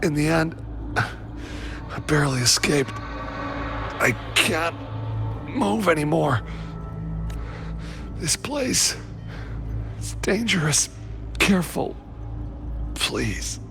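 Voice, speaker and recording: A wounded game soldier, speaks very slowly in a higher male voice, halting and out of breath. He sounds exhausted and strained, with music behind him. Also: very animated, gravelly, exaggerated voice. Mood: weak, pained. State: weak, out of breath. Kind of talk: scripted video game voice acting.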